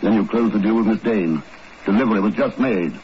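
A man's voice: on the low side, brisk, lightly acted. Muffled noisy old radio show, man acting a shrewd world-weary spy, cool and in command. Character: shrewd, world-weary male secret agent, coolly in command.

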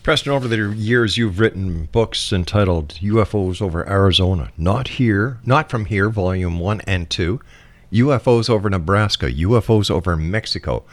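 Low-pitched male radio host. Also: normal speed. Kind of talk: radio interview hosting.

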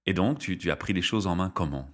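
The man hosting a relaxed talk show, lowish and very animated, teasing his guest between questions. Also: quick pace. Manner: teasing, informal, brief encouraging interjections. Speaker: interviewer, host. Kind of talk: casual interview chat.

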